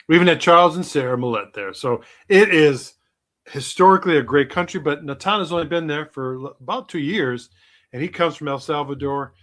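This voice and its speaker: A man's voice: fairly high pitch, brisk, a bit bright. Rambling, conversational male podcast co-host. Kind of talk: casual podcast talk.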